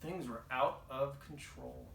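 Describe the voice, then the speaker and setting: Guy reading a childrens story out loud, average pitch, roomy echo on it. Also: a little slow, vocal fry, slight background noise. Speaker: storybook reader. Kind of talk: children's read-aloud narration. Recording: echoey, roomy home recording.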